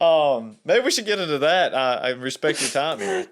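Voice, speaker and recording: A man hosting a podcast, talking in a relaxed, hesitant way with plenty of fillers. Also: a little high, moderate pace. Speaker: podcast host. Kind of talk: casual conversation.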